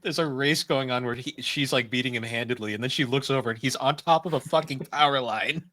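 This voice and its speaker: Man, podcast co-host, mid pitch, dry close mic, laughing casual chat. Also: brisk, dry recording. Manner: laughing, swearing, excitable storytelling.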